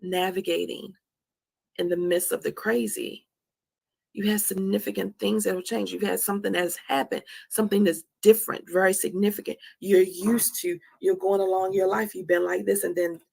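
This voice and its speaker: A woman's voice: mid-range voice, level tone, normal speed, gravelly, darkish tone, breathy edge. A woman in her forties giving a candid motivational testimony as a host, emphatically repeating phrases for effect. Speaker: host of a live talk on anxiety.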